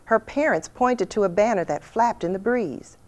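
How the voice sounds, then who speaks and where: A woman reading a children's picture book aloud with expressive storytelling delivery. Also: mid-range voice, normal speed. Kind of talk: storybook read-aloud narration.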